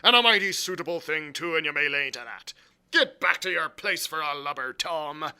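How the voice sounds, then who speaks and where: A man's voice: fairly high pitch, moderate pace, bright, forceful tone, clear, resonant tone. A man voicing a hearty, crafty one-legged seafaring tavern landlord, with blustering, emphatic, salty sailor talk, as in an audiobook. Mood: stern, sarcastic. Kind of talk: audiobook character acting.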